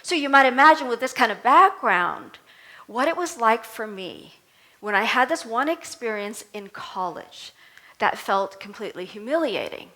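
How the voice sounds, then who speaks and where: Lively Vietnamese-born woman reverend. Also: fairly high pitch, moderate pace, low-quality recording. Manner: animated storytelling with self-deprecating humor. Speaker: reverend giving a talk. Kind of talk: conversational sermon. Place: temple service.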